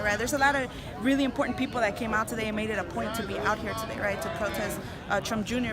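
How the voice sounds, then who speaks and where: A woman protester speaks quickly in a casual interview style, often adding short check-in questions. A very loud crowd surrounds her outdoors. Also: fairly high pitch. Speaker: protest activist.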